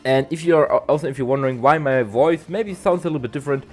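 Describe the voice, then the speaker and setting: A man, a bit nasal from allergies, doing a halting voiceover for a game build video with lots of ums and restarts. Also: mid-range voice. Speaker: zoo-building game creator. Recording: soft guitar music behind.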